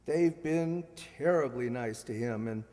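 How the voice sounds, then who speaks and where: A man's voice: medium pitch, a little slow, darkish tone, natural voice. A man acting a suave, teasing upper-class ex-husband with a wry, courtly manner in a radio play. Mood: breezy, teasing. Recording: echoey hall, stage radio performance.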